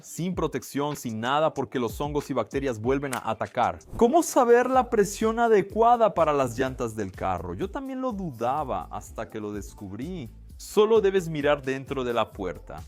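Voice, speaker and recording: A man hosting a casual science explainer, chatty and lively with quick exclamations. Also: medium pitch. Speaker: science video host.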